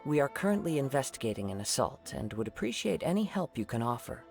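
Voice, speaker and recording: Kazuha, a courteous young samurai. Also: male voice, mid-range voice, sing-song, creaky, music in the background. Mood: calm. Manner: polite, measured, formal. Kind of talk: video game dialogue.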